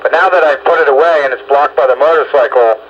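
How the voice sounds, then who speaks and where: A man's voice: fairly high pitch, fast, lo-fi sound. Older man on a very noisy radio. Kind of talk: casual radio hobbyist chat.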